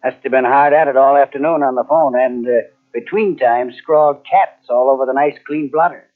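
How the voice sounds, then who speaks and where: An actor playing a shrewd, needling police detective in 1940s radio mystery style, probing and sardonic. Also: male voice, medium pitch, normal speed, close to an everyday voice. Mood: sardonic, accusing. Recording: tinny vintage radio recording on cassette.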